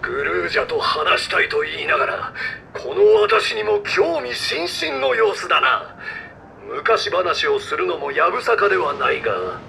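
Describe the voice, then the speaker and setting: Shrill, grandiose robot knight. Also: male voice, very animated, moderate pace, gravelly, pushed and bright, slight background noise, exaggerated voice. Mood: genial, commanding. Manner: pompous, theatrical, archaic courtly speech. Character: grandiose, courteous old robot knight captain. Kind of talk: video game cutscene acting.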